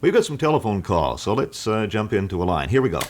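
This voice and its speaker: A male radio host of a call-in show, asking questions haltingly with many fillers. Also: on the low side, very animated, a little hiss or hum. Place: radio studio.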